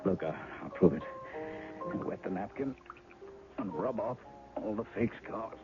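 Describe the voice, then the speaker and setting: Wry, self-mocking novelist; lowish, animated voice; phone-thin old radio. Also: male voice, measured pace. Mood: rueful. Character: rueful blocked novelist in disguise. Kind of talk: 1970s radio drama acting. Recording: narrow 1970s radio broadcast, faint music.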